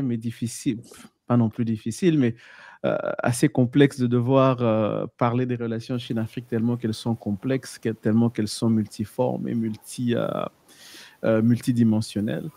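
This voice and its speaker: A man speaking as a panel guest, explaining in a measured way and often stopping to restart or correct himself. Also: medium pitch, level tone, vocal fry, mellow, no room sound. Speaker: guest, editor of a research outlet.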